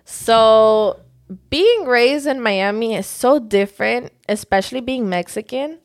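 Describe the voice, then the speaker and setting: A young woman talking casually as an interview guest, animated and quick to laugh, with many "likes". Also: fairly high pitch. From United States. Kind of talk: casual conversation.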